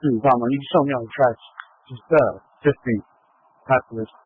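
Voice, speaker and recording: A man's voice: medium pitch, fairly even intonation, brisk. A man working as a field responder, giving brief reports over a narrow radio. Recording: tinny, phone-like radio transmission.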